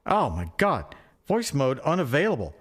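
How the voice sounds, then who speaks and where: A man co-hosting a podcast in a casual, comic phone chat, excitable and joking, with casual swearing. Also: medium pitch, normal speed, breathy edge. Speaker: podcast co-host.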